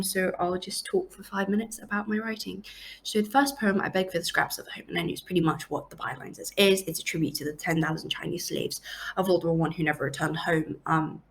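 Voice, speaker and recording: A young woman from Hong Kong, a poet and student, talking casually and quickly about her poems, with frequent fillers. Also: medium pitch, steady tone, gravelly. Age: young adult.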